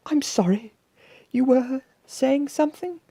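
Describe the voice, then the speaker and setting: An enormous storybook monster mother played by a narrator, gentle and very courteous. The voice is lifted high, nothing monstrous about it. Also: measured pace, husky, no echo, exaggerated voice.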